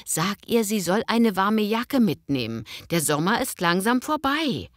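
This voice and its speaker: A woman playing a fussy, worrying mother who nags insistently and excitedly in an acted language-learning dialogue. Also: medium pitch, measured pace, dry recording, clean studio sound. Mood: worried. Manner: insistent, nagging. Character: fussy, excited, worrying mother expecting her grown daughter.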